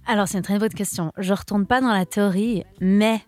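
A woman's voice: medium pitch, steady tone, brisk, darkish tone, dry recording. A young woman, a singer-songwriter, answering interview questions in a casual, hesitant way with many restarts. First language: French.

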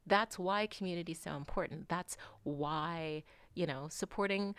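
A woman in her forties talking candidly as an interview guest, frank and animated, swearing casually and sometimes dropping into soft asides. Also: on the low side, moderate pace, smooth, no room sound. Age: 40s. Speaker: guest artist.

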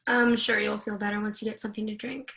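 A woman's voice: mid-range voice, level tone, quick pace, vocal fry, fairly bright tone. A woman playing a confident, jaded senator's wife in a screenplay reading, teasing, assertive and freely swearing. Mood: smooth hosting. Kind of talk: dramatic screenplay table read.

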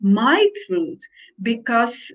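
A woman with a Dutch accent, talking things through in a slow, reflective conversation and pausing as she searches for words. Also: fairly high pitch, sing-song. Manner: slow, searching phrasing with pauses.

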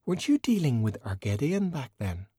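A man's voice: medium pitch, very animated, moderate pace, dark tone, husky, dry recording, clean studio sound, pitched above the performer's own voice. A man voicing a poised, vain and sly woman mage in an audiobook, with an arch, theatrical manner. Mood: arch, curious.

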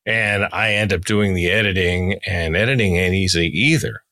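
A man hosting a casual conversational interview, speaking in a somewhat halting way and letting thoughts trail. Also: low-pitched, sharp tone, dry recording. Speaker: podcast host, interviewer.

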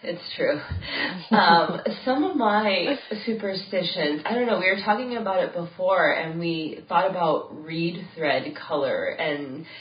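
A low-voiced woman chatting on a podcast. She is stuffy with a cold and laughs her way through lively stories. She sounds far from the mic in an echoey room. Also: a little slow. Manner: laughs often, animated storytelling. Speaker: podcast co-host. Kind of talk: casual chat. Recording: roomy, distant, dull lo-fi sound.

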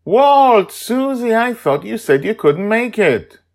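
Man voicing a gushing, flustered woman, higher pitched. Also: very animated, slow, full character voice. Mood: bright, welcoming. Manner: effusive, breathless exclamations and hesitations. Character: gushing starstruck woman, cheerful and flustered mother.

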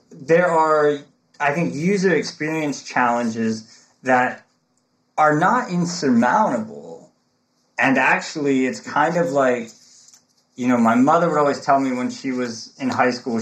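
A native English-speaking man, a technology consultant, explaining as an interview guest with animated fillers. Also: mid-range voice, rough recording. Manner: animated, fillers like "look" and "right?". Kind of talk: conversational interview.

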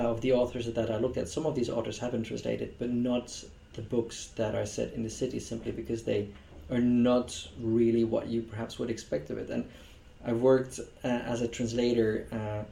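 Hesitant Belgian man, gravelly and flat. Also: medium pitch. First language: Dutch. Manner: hesitant, many fillers and restarts. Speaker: literary scholar and translator. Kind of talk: interview answer. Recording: echoey room.

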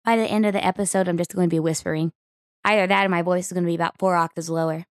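A woman from Oklahoma with a raspy, hoarse voice from a cough, co-hosting a podcast in playful, joking casual chat. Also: on the low side, fairly even intonation, rapid-fire, no echo. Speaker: podcast co-host.

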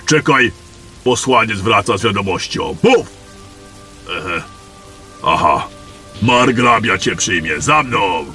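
A man playing a gruff, threatening caravan guard who barks curt orders in a fantasy audio drama. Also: fairly low pitch, expressive, slow, long pauses, sharp tone, soft background music, exaggerated voice. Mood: curt, suspicious. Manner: curt, barking.

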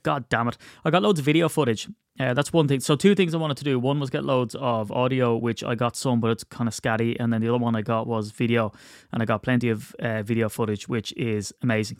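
A man's voice: mid-range voice, steady tone, a little fast, no room sound, clean studio sound. A man hosting his own podcast, stuffy from a cold, rambling and poking fun at himself. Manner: rambling, self-mocking, chatty. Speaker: podcast host. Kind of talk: casual solo podcast chat.

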